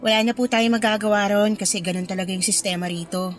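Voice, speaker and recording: A woman's voice: medium pitch, fairly even intonation, fast, close to an everyday voice. A woman playing a curt, resentful young college student in a radio drama, with cold, blunt politeness. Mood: cool, distant. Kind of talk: radio drama acting in Filipino. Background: music bed.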